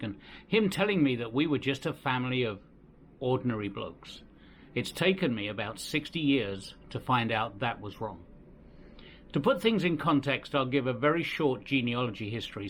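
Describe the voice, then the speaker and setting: A man in his sixties from England with an English accent, narrating his family history from his home in a gentle, unhurried way. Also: mid-range voice, slight background noise. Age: 60s. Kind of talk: reflective personal narration. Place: home in the Philippines.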